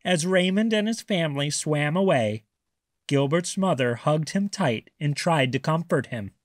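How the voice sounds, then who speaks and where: A man reading a children's picture book aloud as a storyteller, with expressive, deliberate narration. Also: a little high, dry recording, studio quality.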